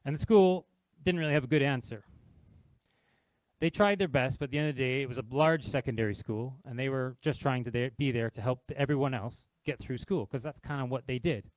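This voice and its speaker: A man's voice: mid-range voice, brisk, no room sound, low-quality recording. Canadian man telling a personal story. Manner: nervous but candid, conversational, walking around while speaking. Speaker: speaker at an industry event. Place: event venue, in front of a live audience.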